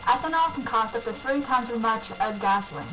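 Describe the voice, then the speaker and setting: A woman's voice: on the high side, expressive, brisk, music in the background, close to an everyday voice. A woman playing a flowery, preachy environmentalist presenter in a scripted amateur film, reciting theatrically. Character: flowery, preachy eco-minded presenter named Gunther. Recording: noisy amateur recording.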